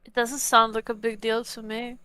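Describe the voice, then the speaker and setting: A woman's voice: fairly high pitch, flat delivery, moderate pace, bright, forceful tone. A woman playing a tabletop roleplaying game, bantering casually with the group.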